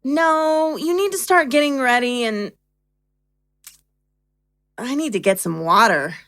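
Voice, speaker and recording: A woman's voice: sits high, slow, fairly bright tone, no room sound, exaggerated voice. A woman playing a playfully bossy, affectionate young girlfriend in a naturalistic audio drama. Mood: insistent, fond.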